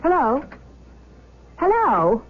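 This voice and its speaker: A woman's voice: sits high, huge pitch swings, very slow, slight background noise, exaggerated voice. A woman playing a hesitant, protective wife and mother in a 1970s radio drama. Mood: polite, puzzled. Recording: muffled, narrow-band 1970s radio broadcast.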